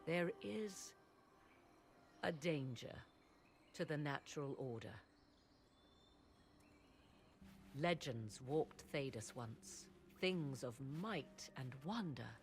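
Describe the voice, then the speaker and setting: Grave learned witch from a fantasy game, low slow voice, old-fashioned formal wording, bit of music behind. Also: lively intonation, exaggerated voice. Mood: solemn, wistful. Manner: formal, archaic, measured speech. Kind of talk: dramatic fantasy game acting.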